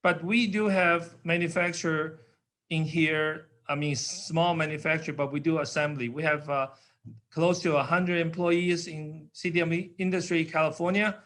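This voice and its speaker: An older man with a Taiwanese accent talks as an interview guest, his voice sitting a little high for a man. His English is simple and a bit broken, with frequent pauses and filler sounds as he explains things in plain, patient terms. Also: fairly even intonation, a bit bright. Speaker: guest, company founder.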